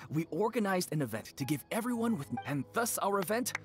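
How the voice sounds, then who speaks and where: Casting: courteous game townsperson, lowish voice, animated and enthusiastic, music behind. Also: female voice, fairly quick. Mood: welcoming. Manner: polite, enthusiastic. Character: eager, courteous townsman promoting a local event. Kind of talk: video game voice acting.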